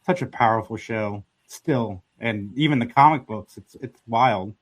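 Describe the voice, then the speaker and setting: A man's voice: on the low side, unhurried, low-quality recording. A man hosting a podcast, chatting casually and earnestly about a show he grew up watching. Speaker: podcast host.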